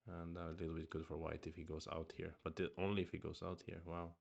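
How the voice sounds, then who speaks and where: Man, deep low voice, gravelly fry, swedish native speaker, streamer talking through go moves, murmured asides. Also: flat delivery, quick pace. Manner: thinking aloud, restarts, murmured asides. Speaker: streamer reviewing go games. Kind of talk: casual game review chat.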